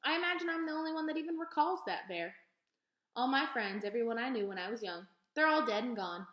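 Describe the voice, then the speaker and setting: A woman's voice: fairly high pitch, expressive, fast, highs cut off, close to an everyday voice. Woman voicing a folksy elderly lady librarian, echoey room. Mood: wistful. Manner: folksy, emphatic repetitions. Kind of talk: storybook read-aloud character voice.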